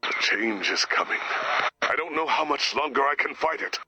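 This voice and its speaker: A man's voice: mid-range voice, lively intonation, normal speed, sharp tone, breathy edge, no echo, faint music behind, exaggerated voice, rough recording. A man voicing a game's director turned werewolf executive, pompously talking in menacing corporate jargon. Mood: strained, ominous. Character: director turning into a corporate-minded werewolf businessman. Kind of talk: video game voice acting.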